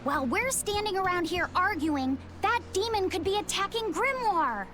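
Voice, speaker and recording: A woman's voice: very high-pitched, lively intonation, normal speed, music in the background. A woman voicing an earnest, innocent young boy, shy and curious, in a video game. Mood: earnest, insistent.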